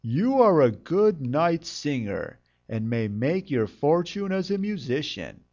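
A man voicing a friendly, encouraging donkey with a hearty, expressive manner in a fairytale. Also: on the high side, slow pace, muffled recording, exaggerated voice. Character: friendly, encouraging male donkey, leader of animals.

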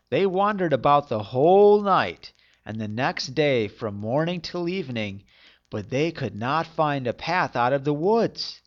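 A man's voice: mid-range voice, muffled recording. A man narrating a fairy tale as a storyteller, reading expressively.

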